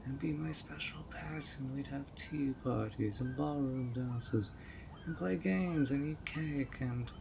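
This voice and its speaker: A man's voice: medium pitch, slow pace, vocal fry, background noise. A man voicing a handsome, obsessive male fairy who coaxes and dotes in a possessive way, in an audio roleplay. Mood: doting. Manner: coaxing and doting, turning controlling.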